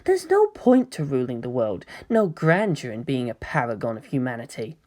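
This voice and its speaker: A woman voicing a brooding, grandiose young male antagonist in a story reading, speaking in a hushed, grave manner. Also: a bass voice, big pitch swings, normal speed, pitched below the performer's own voice, exaggerated voice. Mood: scornful, hushed. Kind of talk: dramatic audiobook character reading.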